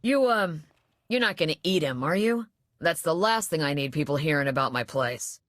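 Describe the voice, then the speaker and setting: A female bar owner in a rough spaceport, weary and wary. Her voice sits low for a woman and is recorded close and dry, with plain-spoken video game delivery. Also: animated, normal speed, a bit bright, dry recording. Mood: nervous. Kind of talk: video game dialogue acting.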